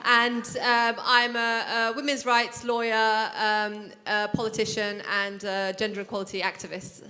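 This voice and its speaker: A woman's voice: fairly high pitch, level tone, measured pace, pushed and bright. A woman from Cyprus, a panelist, introducing herself informally to an audience. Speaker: lawyer and activist panelist. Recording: faint background noise.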